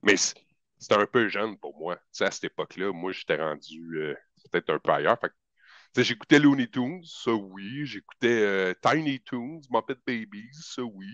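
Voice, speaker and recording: Deep, dull-sounding teasing Quebec man. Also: lively intonation, normal speed, a bit bright, no echo. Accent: Quebec French. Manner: slangy, teasing, drops in English phrases. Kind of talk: casual chat.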